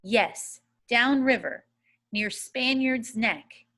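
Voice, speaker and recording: A worried mother, voiced by a woman reading a novel aloud, serious and caring. She speaks with grave concern, her pitch often a little raised above plain narration. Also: very slow, a bit bright, full character voice.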